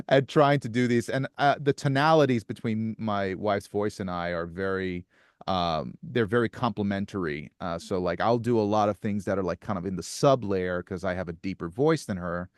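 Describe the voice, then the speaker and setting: Low-voiced man in his thirties. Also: smooth, no room sound, clean studio sound. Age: 30s. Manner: soft-spoken, halting with restarts and chuckles. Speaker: musician guest. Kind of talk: casual interview chat.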